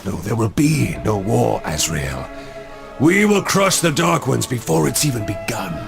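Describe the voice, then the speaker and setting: Proud zealous angel warlord, gravelly and grand, pitch moving around, video game drama. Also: male voice, very animated, music in the background. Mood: zealous persuasion. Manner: measured, grandiose, resolute. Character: proud, zealous, commanding warrior angel general.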